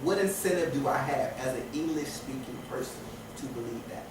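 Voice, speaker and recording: A man's voice: medium pitch, brisk, noisy background. Man lecturing from far off in an echoey room. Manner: repeats and restarts phrases, asks rhetorical questions. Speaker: teacher. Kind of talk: classroom lecture.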